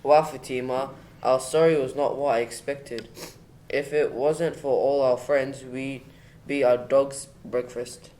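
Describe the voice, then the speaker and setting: A man's voice: medium pitch, steady tone, measured pace, dark tone, natural voice. A man playing an earnest fairy-tale brother in a children's radio play.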